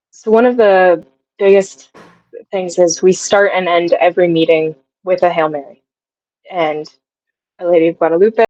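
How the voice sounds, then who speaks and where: A woman's voice: fairly low pitch, a little mellow, no echo, dull audio. A woman in her twenties chatting casually as an interview guest, thinking aloud as she talks. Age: 20s. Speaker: guest, audio drama writer.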